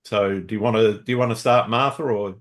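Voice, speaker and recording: A man with a low, deep voice hosts a photography interview podcast. He reads his introduction from a script, then settles into friendly, relaxed questions for his guests. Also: brisk. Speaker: podcast host.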